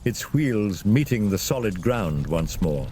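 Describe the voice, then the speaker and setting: A man's voice: on the low side, sing-song, a little slow, warm tone, a little hiss or hum. A man narrating a scripted fantasy story in a dramatic storytelling style.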